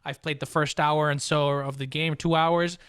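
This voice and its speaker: A man's voice: a little high, flat delivery, normal speed, a bit bright, clean tone, no room sound, studio quality. A man hosting a podcast in a casual, chatty style. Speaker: podcast host.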